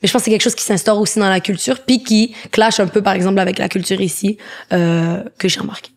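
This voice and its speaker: A woman with a Québécois French accent chatting casually, relaxed and slangy with many fillers. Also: mid-range voice, quick pace. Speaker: co-host. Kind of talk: casual chat.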